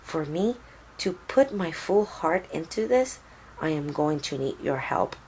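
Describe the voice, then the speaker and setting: A woman voicing a tender, hardworking mother who speaks gently and earnestly to her child in a storybook. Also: deep voice, animated, unhurried, slight background noise, low-quality recording. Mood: determined. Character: tender, hardworking Mexican mother of a young boy.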